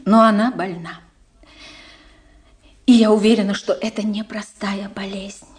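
An actress playing an anxious, dignified middle-aged princess and mother in a radio play, with halting, breathy delivery and emphatic pauses. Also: mid-range voice, fairly even intonation, lightly acted. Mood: pained, earnest.

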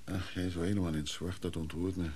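A man's voice: low-pitched, fairly even intonation, fast, darkish tone, slight background noise, natural voice. An actor playing a widow's hesitant, guilt-ridden lover in a radio play, musing as he talks. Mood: tender, troubled. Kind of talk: Dutch radio play acting.

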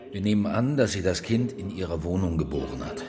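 Very deep-voiced male police inspector. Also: lively intonation, brisk. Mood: businesslike. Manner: terse questioning in short clipped phrases. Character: male police inspector, terse, inquisitive, quietly sympathetic. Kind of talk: naturalistic radio crime drama acting.

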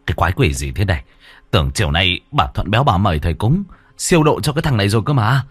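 A man's voice: fairly low pitch, very animated, fast talker, breathy edge, no room sound. A man voicing an urgent village man. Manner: urgent, commanding. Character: startled village man taking charge.

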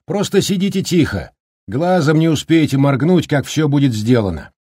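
A man voicing a cheerful, talkative middle-aged snowplow driver who speaks in a brisk, folksy, joking way. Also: a little high, lively intonation, darkish tone, breathy edge, no room sound, clean studio sound, pitched above the performer's own voice. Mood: cheery, chatty.

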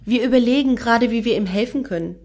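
A woman's voice: on the high side, fast, darkish tone, lightly acted. An actress playing a bossy, protective female fan in a German radio play, opinionated and teasing.

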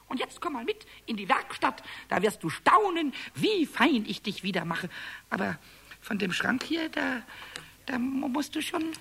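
A cheeky little kobold with a squeaky, very high voice that leaps all over the place. The creature is childlike, clumsy and excitable, stammering and repeating words, in the manner of a children's radio play. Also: male voice, creaky, slightly rough, full character voice. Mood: playful, boastful, then mischievous, excited.

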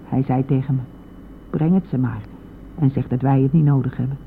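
A woman's voice: extremely low pitch, steady tone, fairly quick, narrow, tinny audio. Radio play role: wounded, insistent old mother, anguished and unyielding; thin, slightly noisy vintage sound. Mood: accusing, indignant. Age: older. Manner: insistent, aggrieved, dropping into near-whispers. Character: anguished, stubborn elderly mother of a grown son.

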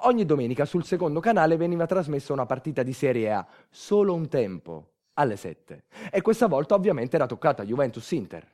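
A man's voice: a little high, sing-song, brisk, hardly any pauses, darkish tone. A man acting a theatrical monologue as a wry everyman storyteller, colloquial. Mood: wry, nostalgic. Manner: wry, colloquial, repeating catchphrases. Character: wry everyman storyteller recalling football and old times. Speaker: actor narrating a monologue.

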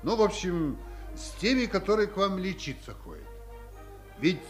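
A man's voice: sits high, sing-song, normal speed, soft background music. An actor playing a fussy, officious but fatherly army doctor in a 1950s Soviet radio play. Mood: fussy advising.

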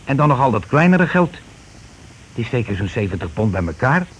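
An actor playing a scheming, money-grabbing husband and landlord in a radio play, talking in wheedling, self-justifying colloquial patter. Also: male voice, medium pitch, sing-song, normal speed, slightly rough. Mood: excited, greedy. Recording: noisy old radio drama recording.

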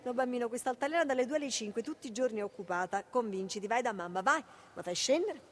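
An actress playing a patient but exasperated working-class mother in a radio play, with a Neapolitan accent and a scolding yet affectionate manner. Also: a little high. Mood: harried, soothing. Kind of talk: naturalistic radio drama acting. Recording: clearly noisy ambient background.

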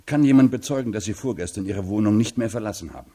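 A man's voice: fairly low pitch, fast, mellow, breathy edge. A man playing a brusque police detective in a radio crime drama, putting direct questions.

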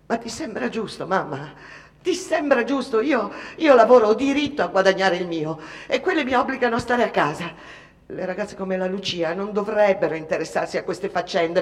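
A woman's voice: medium pitch, lightly acted. An actress playing a fretful, disapproving immigrant seamstress, telling her story in a conversational theatrical monologue. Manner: conversational, repeating words, mimicking others. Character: Italian immigrant factory seamstress, disapproving, fretful mother's confidante. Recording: long reverberant hall.

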